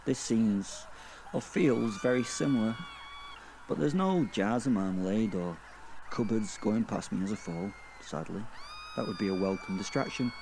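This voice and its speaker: A crude, sardonic werewolf played by a man narrates a dark fantasy tale in a low voice. He is wry and sweary, pausing as he thinks. There is faint noise behind the voice. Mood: wry, musing. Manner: sweary, deadpan, wry, halting. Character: crude, sardonic male werewolf narrating his own afterlife. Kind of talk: first-person dark fantasy audio drama narration.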